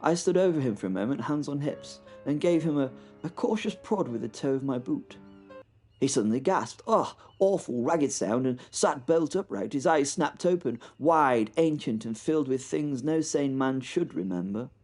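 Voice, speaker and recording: A man with a British accent narrating a first-person horror drama in a wry, halting way, often repeating words, with faint music behind. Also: medium pitch, expressive, darkish tone. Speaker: podcast host and narrator.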